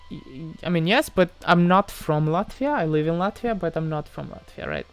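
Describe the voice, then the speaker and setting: A man's voice: fairly high pitch, big pitch swings, normal speed, clean tone, faint music behind. A man from Moldova chatting casually on a livestream as he answers viewers, hesitant, often repeating himself and trailing off. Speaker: live streamer answering chat questions.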